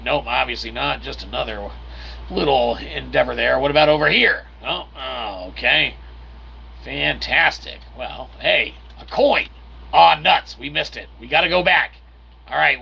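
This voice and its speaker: A man's voice: mid-range voice, gravelly, bright, forceful tone, breathy edge, rough recording. A man giving casual, joking game commentary in wordy, mock-formal phrases, tossing in playful vocal sound effects. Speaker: host of a gameplay video channel.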